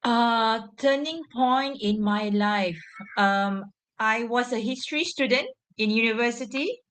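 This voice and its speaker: A young adult woman from Singapore answering interview questions, pausing often with fillers. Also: on the high side, fairly bright tone, clean tone. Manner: halting, frequent fillers and pauses. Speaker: guest, education social entrepreneur.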